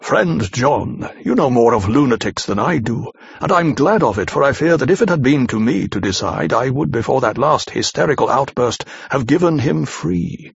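A measured, formal older doctor , as a male reader puts him on, weighs his words with caution. The voice is husky and a little raised. The recording is dry and muffled. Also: medium pitch, expressive, dry recording, heavily acted.

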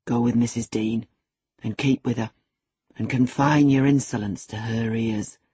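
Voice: medium pitch, fairly even intonation, normal speed, husky, no room sound. A narrator voicing a savage, brooding middle-aged master of a house, harsh, imperious and threatening, in an audiobook. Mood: cold menace.

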